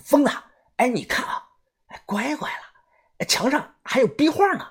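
Man doing a high, brash young sidekick. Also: lively intonation, normal speed, fairly bright tone, slightly rough, full character voice. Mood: hushed amazement. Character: brash, excitable, foul-mouthed young male tomb-robber sidekick.

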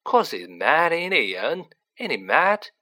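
A storyteller voicing a gossipy, excitable young working-class man who talks colloquially and dramatically, in an audiobook reading. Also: mid-range voice, unhurried, full character voice. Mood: awed, gossipy. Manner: colloquial, dramatic, dropped grammar, tag questions.

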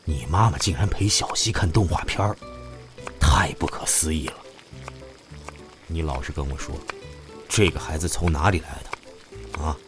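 A man's voice: very deep voice, moderate pace, long pauses, music in the background. A man playing a blunt, teasing middle-aged father and fond grandpa in a modern Chinese audio drama. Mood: suspicious, probing. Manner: blunt, teasing, mock-scolding.

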